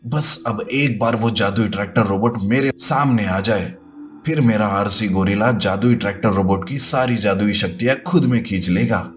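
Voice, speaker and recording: A man voicing a scheming, boastful scientist villain in an animated children's story. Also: fairly low pitch, normal speed, soft background music, close to an everyday voice. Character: gloating, scheming male mad scientist villain.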